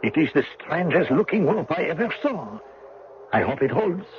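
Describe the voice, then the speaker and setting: A man's voice: fairly high pitch, very animated, normal speed, slight background noise. An actor playing a soldier who recounts his own prison-escape exploits in an old-time radio drama. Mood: amused, concerned. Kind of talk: first-person radio drama narration. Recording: dull old radio recording with music.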